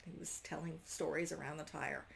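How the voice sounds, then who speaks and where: Creaky-voiced woman giving a halting casual review. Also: low voice, fairly even intonation, a bit bright. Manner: halting, self-interrupting, trailing off mid-sentence. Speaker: homeschool book reviewer. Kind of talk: casual unscripted review to camera.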